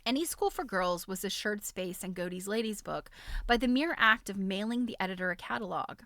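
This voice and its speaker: A woman hosting a live stream, reading a book aloud in an expressive, amused way with chatty, casual asides. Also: mid-range voice, a little fast, no room sound, clean studio sound.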